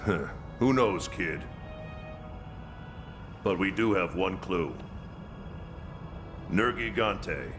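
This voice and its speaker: An actor playing a gruff, jovial veteran admiral in a video game cutscene, hearty and boastful with frequent chuckles. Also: male voice, low-pitched, expressive, very slow, long pauses, heavily acted. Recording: game cutscene with loud music.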